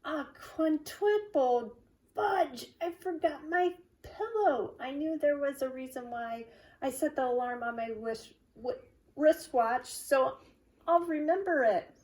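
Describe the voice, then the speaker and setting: A woman voicing a forgetful, excitable schoolboy in a storybook, exclamatory and easily exasperated. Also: sits high, takes it slowly, pitched above the performer's own voice. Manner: exclamatory, dramatic.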